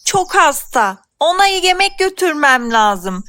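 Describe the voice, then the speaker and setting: A tiny, innocent storybook girl, speaking extremely high with a bright, piping edge. She sounds sweet, naive and sincere. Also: very animated, slow pace, full character voice. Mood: cheerful, sincere. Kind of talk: children's fairy tale voicing.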